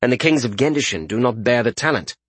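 A man's voice: medium pitch, expressive, fast talker, breathy edge, no room sound. A man voicing a cool, calculating warrior negotiator in a fantasy audiobook, formal and controlled. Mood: cautious, questioning.

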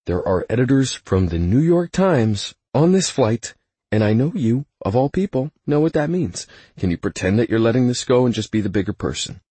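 A man's voice: mid-range voice, very animated, moderate pace, warm tone, breathy edge, dry recording, voice pushed up. A male audiobook narrator voicing an exasperated, fussy assistant who pleads urgently to keep the peace. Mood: weary, pleading.

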